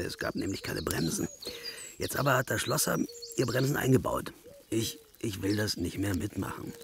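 An actor playing a grumbling but good-natured old soldier in a German radio play, rambling earnestly and haltingly. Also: male voice, lowish voice, slight background noise, close to an everyday voice. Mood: grumbling, indignant.

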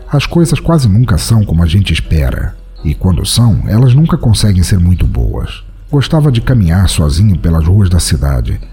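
A man's voice: lowish voice, warm tone, husky, full character voice. A Brazilian man playing a world-weary, broke bohemian writer, narrating an audio drama in a reflective, wistful manner. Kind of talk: first-person literary audio drama narration.

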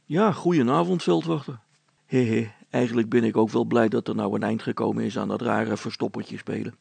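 Inventor for a storybook, played by a male reader: good-natured but wary, husky, plain and hesitant in speech. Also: mid-range voice, fairly even intonation, fairly quick, darkish tone, no room sound. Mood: relieved, teasing.

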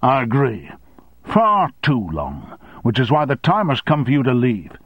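A man playing a blustering, domineering, short-tempered male boss who shouts threats, on a dry, slightly dull recording. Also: mid-range voice, sing-song, measured pace, breathy edge, no echo, voice pushed up. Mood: angry.

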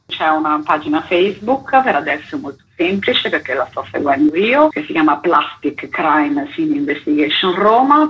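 A woman explaining things as an interview guest on the radio. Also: deep voice. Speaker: guest, environmental project leader. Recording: dull, noisy telephone-like line.

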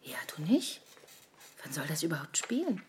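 A woman playing an irritable, insistent actress in naturalistic radio drama dialogue, with a slightly husky, breathy voice. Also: low-pitched, sing-song, moderate pace, background noise. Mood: puzzled, hushed. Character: irritable, insistent actress debating a role with colleagues.

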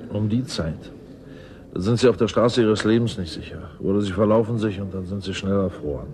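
A man's voice: on the low side, fairly quick, darkish tone, slight background noise, lightly acted. An actor playing a wry, courteous male scientist in a radio drama, absorbed in his own theories and speaking thoughtfully. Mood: wry, businesslike. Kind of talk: German radio drama acting, naturalistic dialogue.

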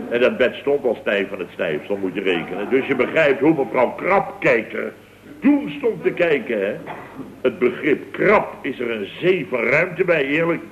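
An actor playing a blustering, easily flustered building-firm boss who rambles emphatically, in late 1960s radio comedy style. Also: male voice, mid-range voice, natural voice. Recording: dull, noisy vintage radio recording.

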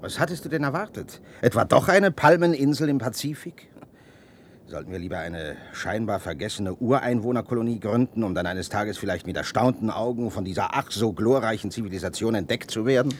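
An actor playing a sarcastic, self-assured spaceship leader in a German radio play, with theatrical, deliberate phrasing. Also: male voice, fairly low pitch, expressive, a little hiss or hum, lightly acted. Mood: sardonic.

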